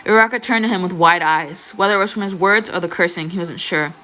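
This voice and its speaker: A woman's voice: fairly low pitch, quick pace, sharp tone, slight background noise. A young adult woman reading fan fiction as an amateur narrator. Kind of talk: expressive scripted story narration, casual asides.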